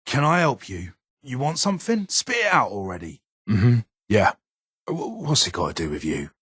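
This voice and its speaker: An actor playing a gruff, irritable older ghoul weapons engineer in a video game, with a British accent, a gravelly rasp and a curt, guarded manner. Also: male voice, mid-range voice, big pitch swings, moderate pace, a bit bright, dry recording, clean studio sound. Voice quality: raspy, gravelly. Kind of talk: video game character dialogue.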